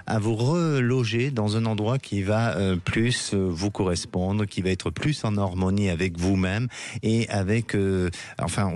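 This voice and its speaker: Man, radio psychic host, gentle, halting, lots of fillers, lowish voice, studio mic. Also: no echo. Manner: hesitant, many "euh" fillers, gentle reassuring. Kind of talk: live call-in consultation.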